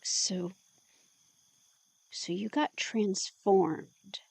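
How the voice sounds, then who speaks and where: A woman's voice: medium pitch, extremely slow, vocal fry, darkish tone, slightly rough, no echo, heavily acted. A woman acting a curious, teasing helper in an intimate audio drama, playful and blunt as she prods for more.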